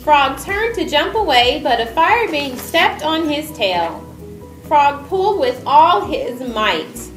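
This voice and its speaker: A woman's voice: sits high, very animated, takes it slowly. A woman reading aloud as the narrator and presenter of a stage performance. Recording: echoey room with music over it.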